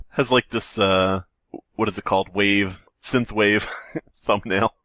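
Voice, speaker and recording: Guy podcast co-host goofing around doing bits, really animated pitch, dry close recording. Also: slow, no echo. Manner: riffs in mock quotes and bits, often cracking up. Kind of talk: casual comedic banter.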